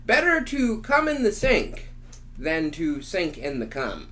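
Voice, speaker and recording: A man's voice: fairly high pitch, wildly swooping pitch, slow, fairly bright tone. A young man co-hosting a casual podcast chat, rambling and laughing often. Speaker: podcast co-host.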